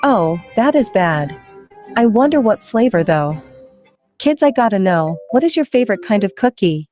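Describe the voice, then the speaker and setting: A woman hosts story time for children. She has a soft-edged, mellow voice at a middling pitch and a playful, conversational way of putting questions to her young listeners. Faint music plays behind her. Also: sing-song, normal speed. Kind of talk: interactive children's story narration.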